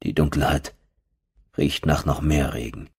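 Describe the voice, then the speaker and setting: A man's voice: very deep voice, fairly even intonation, a little slow, a little mellow, slightly rough. A man narrating a first-person horror audiobook as a storyteller.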